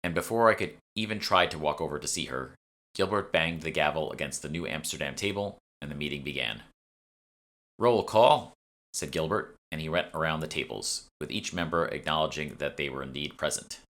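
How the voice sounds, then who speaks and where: Male narrator, low-pitched with a crisp forward edge, reading fantasy audiobook prose up close. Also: fairly quick, pushed and bright. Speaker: author reading own audiobook. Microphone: close USB desktop microphone.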